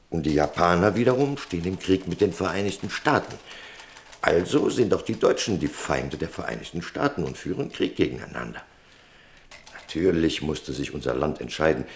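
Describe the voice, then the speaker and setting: Radio play role: evasive, pompous male merchant. Very deep, creaky, lecturing and insinuating. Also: a little hiss or hum. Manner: lecturing, insinuating, drawn-out emphasis. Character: evasive, self-important merchant Don Fernandez. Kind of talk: German radio play acting.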